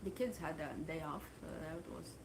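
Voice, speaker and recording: A woman with a Russian accent, a native Russian speaker, chatting casually on a livestream in a halting way full of fillers. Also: sits low, noisy background. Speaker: livestream host.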